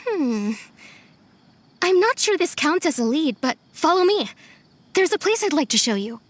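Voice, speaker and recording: A woman playing a gentle, wistful young villager in a video game, speaking in an earnest, soft manner. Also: high-pitched, sing-song, moderate pace, background noise. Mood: nostalgic. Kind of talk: video game character dialogue.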